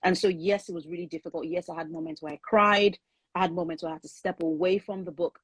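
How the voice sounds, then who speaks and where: A woman's voice: lowish voice, fairly even intonation, fast, fairly bright tone, husky, dry recording. A woman whose first language is Yoruba, speaking as an author in an interview, thoughtful and earnest, with small restarts as she explains her writing. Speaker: author and guest. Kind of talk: conversational interview.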